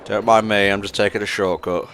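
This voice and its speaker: A man's voice: lowish voice, flat delivery, brisk, a bit bright, slight background noise. A man casually playing a game, teasing his friends and muttering as he plays. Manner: easygoing, teasing, talks to himself as he plays. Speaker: player. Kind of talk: casual let's-play chat.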